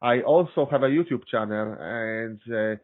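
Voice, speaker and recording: Polish guy talking shop, stumbles and restarts a lot, sounds like a phone line. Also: mid-range voice. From Poland. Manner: halting, many restarts and fillers, laughs often. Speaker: guest systems engineer. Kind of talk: casual interview chat.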